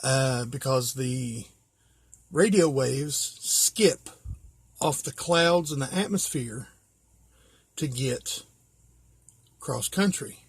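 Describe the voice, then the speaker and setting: An American man from West Virginia talking casually and informally as he shows things, pausing to think aloud. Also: mid-range voice, slightly rough, dry recording. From West Virginia, USA. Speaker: hobbyist vlogger. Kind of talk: casual show-and-tell.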